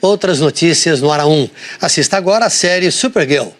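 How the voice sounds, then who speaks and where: A male anchor presents scripted news in a Brazilian accent. His voice is pitched a bit higher than usual. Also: fairly quick.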